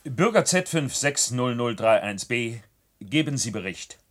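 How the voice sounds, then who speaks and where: A man's voice: mid-range voice, sing-song, unhurried. A man playing a stern, officious control official in a 1970s science-fiction radio play, speaking in formal, commanding bureaucratic language. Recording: noisy old radio drama recording.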